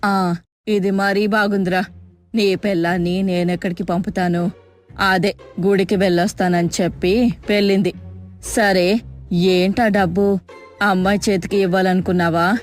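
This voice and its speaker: A woman's voice: mid-range voice, little pitch movement, unhurried, soft background music, close to an everyday voice. Woman acting a wheedling, scheming middle-aged mother-in-law. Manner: wheedling, theatrically aggrieved. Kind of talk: acted moral story voice-over.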